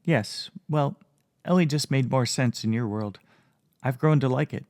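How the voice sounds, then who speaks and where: A male narrator voicing a gentle, lightly amused female elf in a storybook reading. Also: medium pitch, measured pace, no room sound, clean studio sound, close to an everyday voice. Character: gentle female elf, young-looking, wry and kind.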